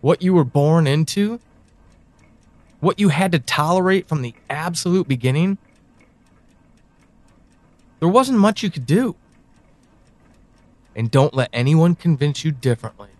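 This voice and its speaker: A man playing a brooding, knowing confidant in an audio drama monologue, speaking deliberately to one listener with pointed pauses. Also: a little high, expressive, no echo. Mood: reassuring, grave. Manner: deliberate, halting delivery with sighs and pointed pauses. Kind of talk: audio drama monologue, second-person address.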